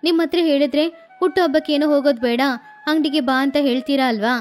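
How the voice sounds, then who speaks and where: A woman's voice: high-pitched, quick pace, darkish tone, natural voice. A woman voicing a middle-aged mother who coaxes her husband and scolds her son in a cartoon story, with faint music behind her.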